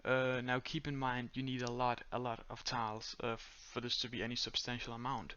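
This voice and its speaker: A young man with a Danish accent giving a step-by-step screen-recorded tutorial in a flat, monotone voice full of "uh"s and "um"s. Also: medium pitch, brisk, clean tone, muffled recording. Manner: monotone, many fillers. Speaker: tutorial creator.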